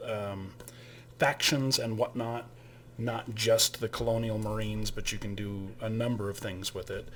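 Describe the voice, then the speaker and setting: A man's voice: fairly low pitch, fairly bright tone, a little hiss or hum. A man hosting his own channel, giving a casual spoken overview of a tabletop game kit, with frequent fillers and restarts. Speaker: channel host and game master.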